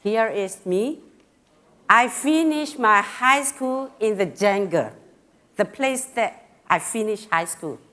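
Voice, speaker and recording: A middle-aged woman from Burma with a Karen accent, giving a personal talk in simple, halting phrasing with pauses and fillers, a little nervous. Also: medium pitch, animated, pushed and bright. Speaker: speaker at a talk.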